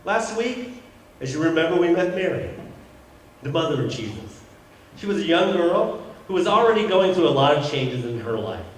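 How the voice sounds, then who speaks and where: Casting: male church reader, measured formal delivery of prepared text, recorded from afar with faint noise in an echoing sanctuary. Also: medium pitch. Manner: measured, formal, occasionally stumbling reading. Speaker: church reader introducing a monologue. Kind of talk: scripted introduction read aloud. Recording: very echoey church, distant mic, some noise.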